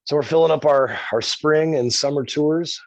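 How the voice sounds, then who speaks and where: A man, a rock band singer, talking in a relaxed, rambling way in an interview, tossing in "man" and "dude". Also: fairly high pitch, moderate pace, no room sound. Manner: easygoing, rambling, calls the host "man" and "dude". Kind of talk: casual interview talk. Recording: dull, dry sound.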